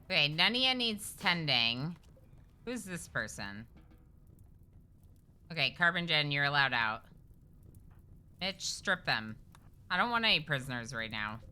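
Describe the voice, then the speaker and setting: A woman gives casual live commentary over a game, chatty and quick to get excited as she calls out to her characters. Her voice is somewhat low yet very bright and sharp, with an animated, swooping pitch. Faint game music plays behind her. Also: clean tone. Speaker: streamer. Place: at home with her cat.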